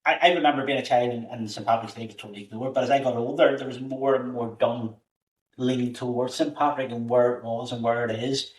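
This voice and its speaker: A man's voice: medium pitch, steady tone, brisk. A Northern Irish man speaks in a mid-range voice from some distance off the mic. The room gives his voice a roomy echo. He argues earnestly, repeating words as his point takes shape. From Northern Ireland. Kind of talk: casual discussion. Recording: roomy sound, away from the mic.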